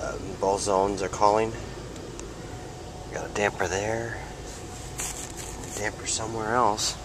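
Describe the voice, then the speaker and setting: Hvac guy talking to himself while he films, gravelly voice, loud unit humming in the background. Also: on the low side, little pitch movement, takes it slowly. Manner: mutters short remarks to himself. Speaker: HVAC technician. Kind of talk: casual narration while working. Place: house with an attic and a backyard. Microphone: handheld camera mic. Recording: loud machine noise behind the voice.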